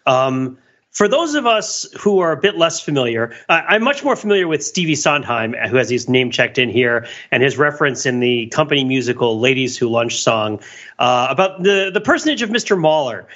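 A man's voice: medium pitch, big pitch swings, moderate pace, fairly bright tone, muffled recording. A man chatting as a podcast panelist, inquisitive and wordy in a casual conversational style.

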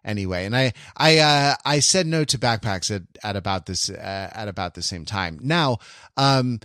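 A man talking casually as a podcast host, halting and rambling, often interrupting himself, with a wry, self-deprecating manner. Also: lowish voice, lively intonation, fairly bright tone, dry recording, clean studio sound. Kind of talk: casual chat.

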